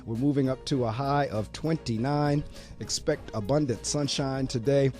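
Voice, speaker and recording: Upbeat radio host, warm slightly froggy voice. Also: male voice, medium pitch, moderate pace, soft background music. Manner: upbeat, talkative, steady reading pace. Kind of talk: morning radio show with weather read. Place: small college radio studio. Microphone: studio mic.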